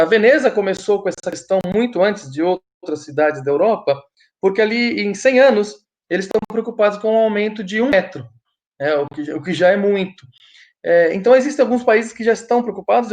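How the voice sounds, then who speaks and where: A man's voice: high-pitched, darkish tone. A Brazilian man giving an online presentation over a video call, explaining in a hesitant way and often stopping to restart his sentences. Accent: Brazilian Portuguese. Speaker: guest speaker.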